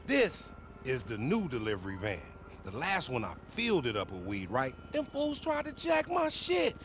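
A man's voice: mid-range voice, wildly swooping pitch, moderate pace, a bit bright, a little hiss or hum. A man playing a boastful, foul-mouthed young hustler in a video game cutscene, with an American accent and slangy, cajoling banter. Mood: excited, boastful.

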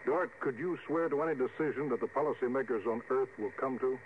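An actor playing a commanding starship captain, deliberate and stern, in 1950s radio drama style. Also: male voice, mid-range voice, close to an everyday voice. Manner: authoritative, deliberate. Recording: phone-like vintage radio recording, faint hiss.

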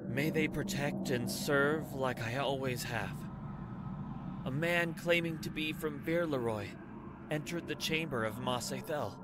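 A man voicing a solemn, loyal dying servant leaving last words in a video game dub, grave, halting and earnest. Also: mid-range voice, heavy background noise, natural voice. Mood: grave, urgent.

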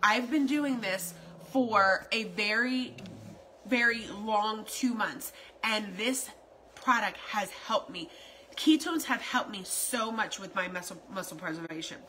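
A woman's voice: mid-range voice, a little slow, sharp tone, slight background noise, low-quality recording. A woman in her forties talking casually and repetitively on a phone live stream from her home kitchen, promoting a wellness product in a rambling, salesy way. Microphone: phone camera at arm's length.

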